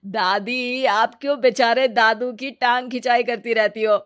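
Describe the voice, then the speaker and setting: A woman's voice: on the high side, fairly even intonation, unhurried, a bit bright. Woman voicing a mild-mannered, reluctant young grandson. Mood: gently teasing.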